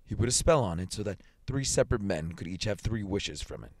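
A man voicing a grave, gruff middle-aged soldier in a story, with a quiet, solemn, dogged manner. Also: on the low side, expressive, brisk.

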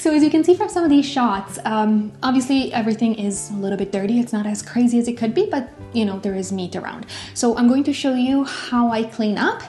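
A woman's voice: a little high, brisk, hardly any pauses. Chatty woman in an echoey kitchen. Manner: chatty and relaxed, with filler words and frequent self-corrections. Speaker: pet care vlogger. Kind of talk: casual how-to talk to camera. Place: home kitchen, indoors. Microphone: camera on tripod at a distance. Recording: echoey kitchen with faint music.

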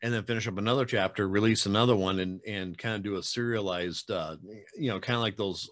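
Chatty low-voiced man on a video call. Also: quick pace, a bit bright. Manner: chatty, rambling, teasing, many fillers. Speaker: podcast host. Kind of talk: casual video-call interview. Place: home room with bookshelves. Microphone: webcam video call.

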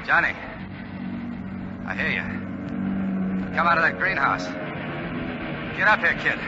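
A man in a 1940s radio drama playing a brisk, bantering bomber skipper giving clipped commands. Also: on the high side, big pitch swings. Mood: urgent. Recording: noisy muffled 1940s radio broadcast recording. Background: loud aircraft engine noise.

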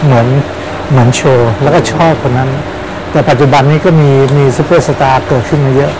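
Guy in his 60s, football coach, exclaims a lot, talking outside by a pitch, lapel mic, noisy background. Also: brisk. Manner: animated, exclaims often. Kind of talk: outdoor interview.

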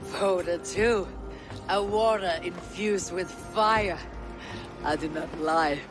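A Viking warrior woman in a video game. Her voice is low and husky, and she speaks slowly and solemnly, steady and loyal, over music. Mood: warm, playful. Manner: solemn, deliberate. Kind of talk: video game cutscene acting.

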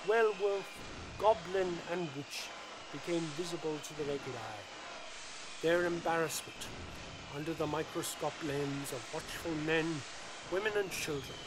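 A man reciting scripted narration for a radio play in theatrical, measured phrases. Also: sits high. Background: music.

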